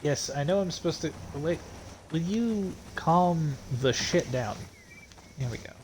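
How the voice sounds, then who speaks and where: A man's voice: fairly high pitch, expressive, a little slow, slight background noise. A male streamer chatting casually over gameplay, swearing freely and laughing often.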